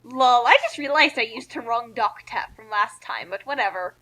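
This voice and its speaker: A woman with a high, bright voice comments casually on a story being read. Her manner is playful and offhand, with lively swings in pitch. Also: moderate pace, rough recording. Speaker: co-reader and commentator. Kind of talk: casual chat and amused commentary.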